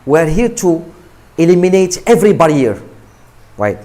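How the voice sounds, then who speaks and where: A man from Morocco gives an emphatic motivational talk to students in English. His voice sits fairly high and swings widely in pitch. He repeats words and keeps asking his listeners "right?". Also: very animated, moderate pace, warm tone. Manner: emphatic, repetitive, asks "right?" often.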